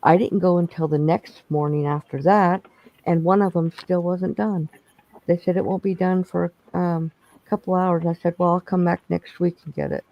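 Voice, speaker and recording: A man's voice: high-pitched, steady tone, normal speed, gravelly, highs cut off. A man chatting casually on a bingo stream, rambling through stories with restarts. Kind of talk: casual chat.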